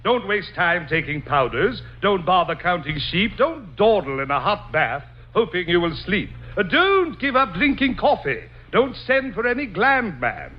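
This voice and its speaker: An actor playing a pompous, hammy poet who declaims silly verse in 1940s radio comedy, on a muffled noisy broadcast. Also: male voice, high-pitched, very animated, a little slow, few pauses, heavily acted. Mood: grandly reciting. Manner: grandiloquent, declamatory.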